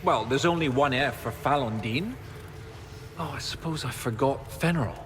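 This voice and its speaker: An actor playing an absent-minded, excitable male professor of history in a video game, halting and muttering as he thinks aloud. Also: medium pitch, lightly acted. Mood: flustered. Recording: reverberant game hall, noisy ambience.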